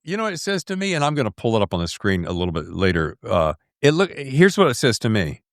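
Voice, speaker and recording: A man's voice: lowish voice, very animated, fast, dry recording, clean studio sound. A middle-aged man hosting a podcast, chatting in an informal, jokey, salty-tongued way that wanders into tangents. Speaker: podcast host. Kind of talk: casual interview chat.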